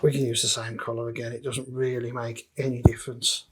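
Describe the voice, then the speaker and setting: A man's voice: mid-range voice, flat delivery, a little fast, a little hiss or hum. A man giving casual, matter-of-fact tutorial commentary, talking through each step as he works on electronics. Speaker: DIY electronics presenter. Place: indoor electronics workbench.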